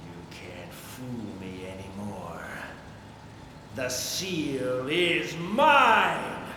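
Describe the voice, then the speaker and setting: A fanatical guardian of a sacred relic in a horror game. He rants and accuses in slow, wildly swinging tones, hushed then shouting, inside an echoing hall. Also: mid-range voice, animated. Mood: threatening zeal. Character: menacing male religious fanatic, zealous guardian of a sacred seal. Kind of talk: video game cutscene acting. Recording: echoey cavernous hall, faint noise.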